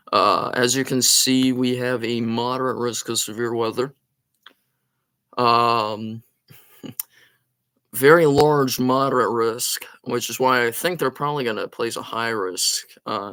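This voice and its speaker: A man's voice: medium pitch, vocal fry, fairly bright tone, no room sound. A man hosting a live storm-tracking stream with a sore throat, giving urgent take-cover warnings between many ums and uhs. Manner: urgent warnings mixed with frequent fillers. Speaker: storm tracking livestream host. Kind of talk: live weather commentary.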